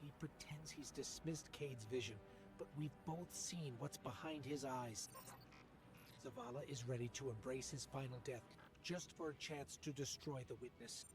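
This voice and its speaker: An actor voicing a devoted little machine companion in a video game, earnest, tender and reflective. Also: male voice, mid-range voice, normal speed, over music, lightly acted, low-quality recording. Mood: worried, urgent. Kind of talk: video game cutscene monologue.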